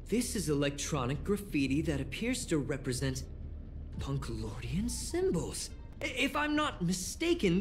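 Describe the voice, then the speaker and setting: An actor voicing a flustered, earnest space station investigator in a video game, hesitant and exclaiming. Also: medium pitch. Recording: game music behind the voice.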